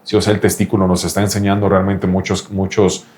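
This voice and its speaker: A man's voice: low-pitched, flat delivery, a little fast, a little mellow. A man, a doctor specializing in reproductive andrology, explains things insistently to a patient and often repeats the patient's name. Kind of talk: explanatory interview talk with a patient.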